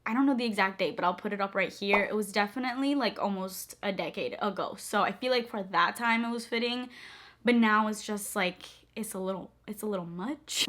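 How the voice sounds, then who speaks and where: A young woman vlogging at home about perfumes, gushing and chatty with lots of "like" fillers, her voice a little hoarse. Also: mid-range voice, a bit bright. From moved to the U.S. as a child. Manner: bubbly, gushing, many "like" fillers. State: slightly hoarse. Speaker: beauty vlogger. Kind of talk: casual chatty vlog.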